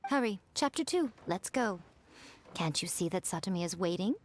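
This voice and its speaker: A woman's voice: on the low side, expressive, moderate pace, slight background noise, close to an everyday voice. A woman voicing a sly, elegant fox-spirit lady in a video game, self-assured and teasing, with a poised, condescending way of talking. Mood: amused, approving. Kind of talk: video game character voice acting. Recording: background music.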